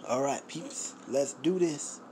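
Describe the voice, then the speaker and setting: A man's voice: medium pitch, takes it slowly, darkish tone. Casual young man, faint background noise. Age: young adult. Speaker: vlogger. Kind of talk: casual chat to viewers. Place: small home kitchen. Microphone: phone at arm's length.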